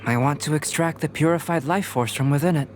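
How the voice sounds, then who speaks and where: A man voicing a composed, scholarly young alchemist in an anime game dub, speaking in a measured, formal, analytical way. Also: fairly high pitch, close to an everyday voice. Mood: calm.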